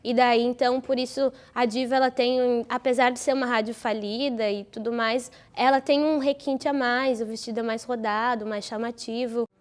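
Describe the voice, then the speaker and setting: A woman's voice: on the high side, fairly even intonation, normal speed, few pauses. A young woman, an actor talking informally in an interview.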